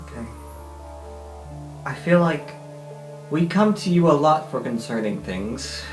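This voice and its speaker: A woman's voice: low voice, expressive, takes it slowly. A woman playing a tabletop roleplaying game, speaking in casual improvised roleplay. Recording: roomy, distant mic, music behind.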